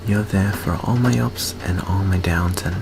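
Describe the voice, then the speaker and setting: A man playing an affectionate, anxious young student in an ASMR roleplay, speaking softly and hesitantly in an intimate hush. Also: low-pitched, moderate pace, creaky, noisy background. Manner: soft, hushed, hesitant, intimate. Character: anxious, affectionate young male vampire student, sentimental best friend.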